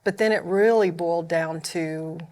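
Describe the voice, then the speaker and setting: A woman's voice: lowish voice, moderate pace, darkish tone. A woman with an Oklahoma accent, a ministry founder, sharing her personal story as a podcast guest in an earnest, candid way. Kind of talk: personal testimony in a panel chat.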